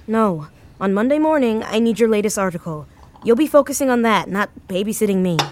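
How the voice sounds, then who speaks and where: A woman's voice: medium pitch, animated, a little fast, a little mellow, close to an everyday voice. An actress playing a grieving, anxious young woman in a naturalistic audio drama, speaking in a hesitant, subdued, conversational way. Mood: insistent, reassuring. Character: grieving, anxious young woman, self-sacrificing, staying at family's house.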